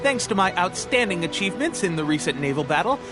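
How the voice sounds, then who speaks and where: An actor playing an eager, boastful, cheerful young soldier in a video game, with an exuberant manner. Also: male voice, high voice, sing-song, fast talker, over music. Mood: boastful, excited. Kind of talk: video game character acting.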